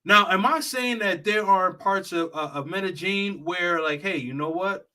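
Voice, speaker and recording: A man's voice: high-pitched, measured pace, pushed and bright. A man who works as a travel consultant, giving a blunt, profane, lecturing monologue straight to the viewer. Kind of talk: heated straight-talk monologue.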